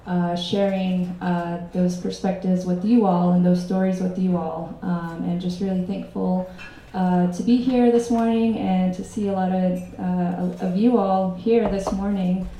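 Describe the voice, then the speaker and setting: A woman with a mellow, mid-pitched voice introduces herself on a panel and thanks everyone. She speaks with many pauses and fillers. Her pitch stays flat, and the room echoes strongly. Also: a little hiss or hum.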